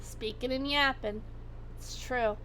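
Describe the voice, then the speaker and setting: A woman livestreamer chatting casually, her voice going hoarse as she rambles and swears freely. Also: high-pitched, steady tone, slow pace, noisy background. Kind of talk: casual chat.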